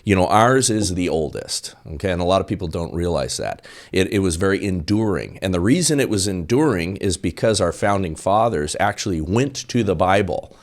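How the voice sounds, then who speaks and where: A man's voice: deep voice, animated, moderate pace, few pauses. A man talking politics in a casual conversation, stressing his points and checking in with "okay?" and "right?". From United States. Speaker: guest.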